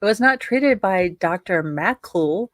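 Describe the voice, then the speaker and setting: A woman co-hosting a livestream, reading a document aloud haltingly and adding casual, sarcastic asides. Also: mid-range voice, lively intonation, a bit bright, no echo, clean studio sound. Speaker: livestream co-host.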